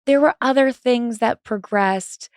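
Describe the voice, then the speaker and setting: A woman's voice: on the high side, a little slow, no room sound, clean studio sound. A woman in her thirties from California, a podcast guest chatting casually with sassy asides and frequent laughter. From California, USA. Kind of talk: casual chat.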